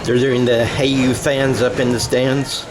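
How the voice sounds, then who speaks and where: Male football play-by-play announcer, folksy and rambling, correcting himself as he goes, recorded outdoors with clear ambient noise. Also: medium pitch, level tone, measured pace. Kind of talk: radio-style football commentary.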